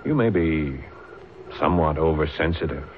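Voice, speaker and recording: Deep-voiced, fatherly Mister Jefferson. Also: animated. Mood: earnest, fatherly. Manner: earnest, paternal, measured, formal phrasing. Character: Mister Jefferson, genial, fatherly older gentleman of standing, peacemaker. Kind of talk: old-time radio drama acting. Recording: muffled, noisy old radio drama recording.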